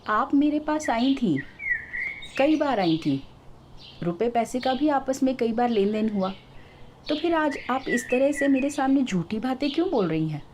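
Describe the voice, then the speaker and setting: A woman's voice: high voice, expressive, moderate pace, a little mellow, a little hiss or hum, voice pushed up. A woman voicing an earnest, trusting woman who lends to others, in a questioning manner, within a told story. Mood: hurt, accusing. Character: trusting, earnest young wronged woman moneylender.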